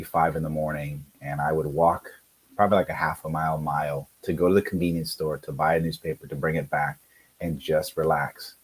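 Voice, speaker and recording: Low husky guy on a video call, spanish first language, tells his story slow and earnest. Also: rough recording. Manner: earnest, storytelling with many fillers. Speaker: guest, career center director. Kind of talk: casual conversation.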